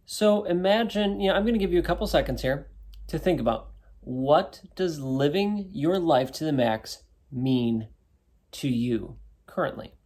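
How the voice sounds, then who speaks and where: A man's voice: fairly high pitch, expressive, unhurried, darkish tone. A man in his thirties hosting a solo wellness show, talking in a conversational way and often adding "right?" to check in with listeners. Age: 30s. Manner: conversational, keeps asking "right?". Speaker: podcast host and wellness coach. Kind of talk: casual solo talk to listeners.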